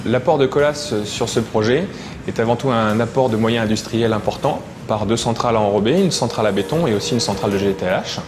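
A man's voice: mid-range voice, fairly quick. A man, a contractor's manager, explaining in a composed way in a documentary interview. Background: background music.

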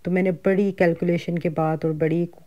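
A woman's voice: lowish voice, level tone, normal speed, mellow, highs cut off. A middle-aged woman with a Pakistani Urdu accent, chatting casually through a grocery and cooking vlog. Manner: chatty, conversational, frequent fillers and asides. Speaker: home cooking vlogger.

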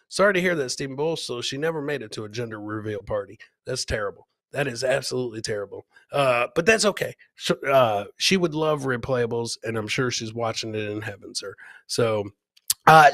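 Bright-voiced man hosting a comedy clip show. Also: medium pitch, expressive, normal speed, dry recording, studio quality. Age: middle-aged. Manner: chatty, jokey, repeats phrases. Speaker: comedy host. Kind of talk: casual live reaction commentary on viral clips.

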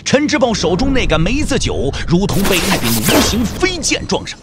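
A man's voice: sits high, big pitch swings, moderate pace, very noisy. A man narrating a wuxia audio drama as a scripted storyteller, with theatrical, suspenseful delivery. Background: background music.

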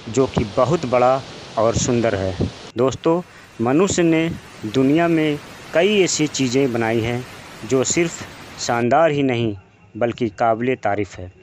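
A man narrating a countdown video as a voice-over, in slow, measured scripted narration. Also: medium pitch, darkish tone, a little hiss or hum. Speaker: channel narrator.

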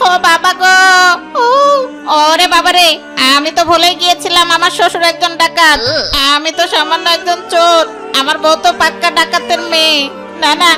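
Casting: actress as sneaky, cowardly male fox for a kids' cartoon; very high, whiny voice over music. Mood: panicked. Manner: whiny, exaggerated, theatrical. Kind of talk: children's cartoon voice acting. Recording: music bed behind the voice.

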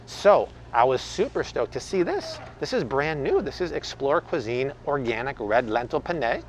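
A man's voice: mid-range voice, very animated, normal speed, slight background noise, low-quality recording. A man in his thirties, an enthusiastic food vlogger, chatting casually through a face mask while walking a large supermarket aisle, filmed on a close handheld camera. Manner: enthusiastic, punchy, addresses viewers as fam. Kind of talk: casual grocery haul commentary. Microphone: handheld camera close up, wearing face mask.

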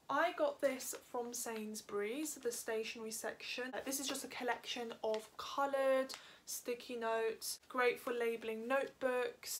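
Young woman vlogger, higher voice, chatty and upbeat, bedroom to camera, faint hiss. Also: fairly bright tone. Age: young adult. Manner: chatty, upbeat, quick asides. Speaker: lifestyle vlogger. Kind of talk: casual haul vlog talk to camera. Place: bedroom, indoors. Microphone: camera on a stand in front of her.

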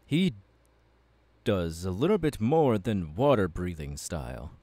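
Guy doing a fiery anime swordsman mentor for asmr roleplay, lowish voice, takes his time, dramatic pauses. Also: big pitch swings, dark tone, dry recording, full character voice. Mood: welcoming, instructive. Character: confident, fiery swordsman mentor, male anime demon slayer. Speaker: voice actor. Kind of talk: ASMR audio roleplay, addressing the listener.

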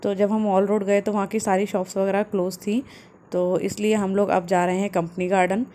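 A woman, calmly narrating a family travel vlog as a voice-over. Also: mid-range voice, normal speed. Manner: calm, explanatory, steady. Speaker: travel vlogger.

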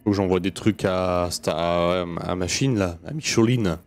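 Male gamer, fairly low voice, informal sweary self-talk, faint game music underneath. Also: a little slow. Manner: informal, sweary, muttering to himself. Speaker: gaming video host. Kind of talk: casual let's play commentary. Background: video game music.

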